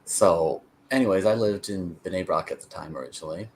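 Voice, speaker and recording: Male, American, low register. Interview guest who explains and meanders as he tells his story. Slight background noise. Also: a little fast. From United States (Connecticut). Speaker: interview guest, peace activist. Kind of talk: conversational storytelling.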